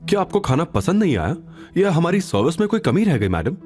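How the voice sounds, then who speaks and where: Man acting a courteous restaurant manager, lively intonation. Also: a little high, a little fast, faint music behind, pitched above the performer's own voice. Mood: courteous, eager. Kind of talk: audio drama character dialogue.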